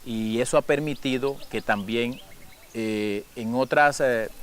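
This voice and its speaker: A man's voice: medium pitch, takes it slowly, clear, resonant tone. A man from Lorica, speaking formally in a documentary interview. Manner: formal, official-sounding.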